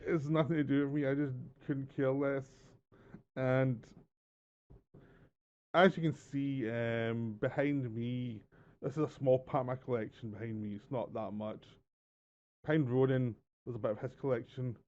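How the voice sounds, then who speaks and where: Co-host: Scottish man, warm mellow voice, jokey and laughing, muffled echoey call audio. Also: medium pitch, unhurried. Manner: animated joking, laughs through lines. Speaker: podcast co-host. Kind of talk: casual podcast chat.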